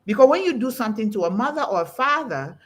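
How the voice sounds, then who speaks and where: Husky, animated woman preaching with emphasis. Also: a little high, quick pace. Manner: emphatic, quotes scripture with rising emphasis. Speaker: host. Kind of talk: preaching-style talk on a panel.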